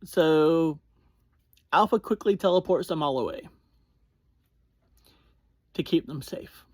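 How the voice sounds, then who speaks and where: A reviewer giving a casual spoken recap, speaking informally to listeners in short, halting phrases and often self-correcting. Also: mid-range voice, a little mellow, dry recording. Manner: informal, addresses listeners directly. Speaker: podcast reviewer.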